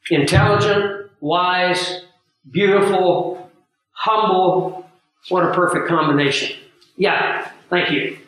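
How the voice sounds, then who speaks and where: A man preaching a sermon to a live audience, his voice hoarse and scratchy from the flu, teaching in an earnest, emphatic way with pauses. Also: high-pitched, fairly bright tone. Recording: very echoey room, voice far from mic.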